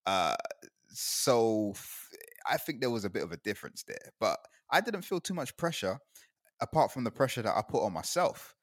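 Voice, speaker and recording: A man's voice: lowish voice, very animated, moderate pace, dry recording, studio quality. A young man from Guyana in casual chat, rambling and laughing often as he talks.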